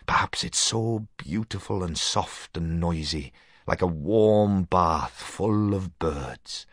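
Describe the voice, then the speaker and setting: Guy as a young dreamer in a story, low voice, dry sound, wistful and melodramatic. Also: slow pace, a bit bright, no echo, heavily acted. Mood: dreamy.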